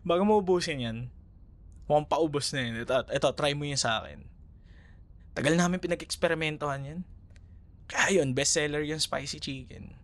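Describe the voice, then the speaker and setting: A man's voice: a little high, normal speed, long pauses, a bit bright, lightly acted. A man with a Filipino accent acting a friendly, teasing ex-boyfriend in an intimate, casual audio roleplay, sometimes hesitant in his phrasing. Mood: playful, wistful. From Philippines.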